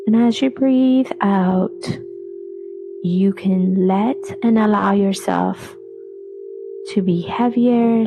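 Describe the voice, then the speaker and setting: A woman leading a guided relaxation meditation in a soothing, unhurried way, with phrases trailing off breathily. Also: medium pitch, creaky, muffled recording, noisy background. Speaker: yoga nidra meditation guide. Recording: voice over background music.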